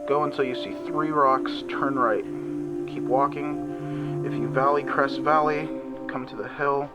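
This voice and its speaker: A man playing a cautious, reluctant guard in an audio drama, speaking in a measured, understated, plain way. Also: medium pitch, steady tone, lightly acted. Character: cautious high-level guard, reluctant loyal old friend. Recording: prominent music behind the voice.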